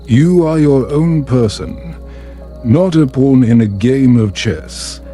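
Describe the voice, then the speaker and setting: Storybook prince, read by a male narrator: self-assured, teasing, measured delivery, soft relaxed timbre. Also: medium pitch, faint music behind, lightly acted. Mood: advising.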